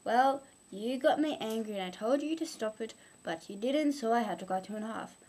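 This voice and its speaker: A young woman voicing a testy male kitchen knife in a homemade story, grumbling in a deadpan, matter-of-fact way. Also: fairly high pitch, normal speed, rough recording.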